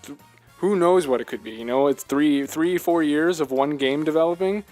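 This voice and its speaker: A man with a slightly high voice talks casually about games as a podcast co-host. He is earnest and emphatic, like a devoted fan making his case with rhetorical questions, over faint music. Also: moderate pace, a little mellow. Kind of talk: casual video game discussion.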